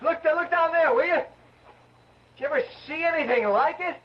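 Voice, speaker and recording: An actor playing an excitable, bewildered man in an old-time radio drama, halting and repeating himself in astonishment. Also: very high-pitched. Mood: amazed. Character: excitable, bewildered man exploring an eerie trap. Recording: muffled old radio recording.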